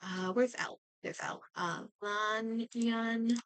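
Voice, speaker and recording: A woman's voice: on the high side, fairly even intonation, slow, a bit bright, smooth. A woman game streamer chatting casually as she plays, muttering and thinking aloud to herself. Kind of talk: casual livestream chat while playing a game.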